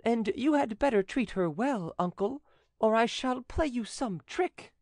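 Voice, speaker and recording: A narrator voicing an eager, cocky young nephew in an animated, gushing manner for a storybook. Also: mid-range voice, unhurried, dry recording, studio quality, voice pushed up. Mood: teasing.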